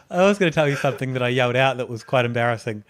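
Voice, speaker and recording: A man's voice: medium pitch, fairly bright tone. Chatty joking man. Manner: joking, easily amused, chatty. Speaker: podcast co-host. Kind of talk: casual banter.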